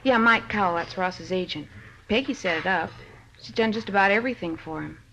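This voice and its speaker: A plain-spoken American working girl in an old radio drama. Her voice sits at a middle pitch, blunt and offhand, full of slang. Clear noise and clicking run behind her. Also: a little fast, sharp tone, poor audio. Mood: offhand, busy. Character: plain-spoken working woman at a coffee shop, scornful. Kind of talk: 1950s radio drama acting.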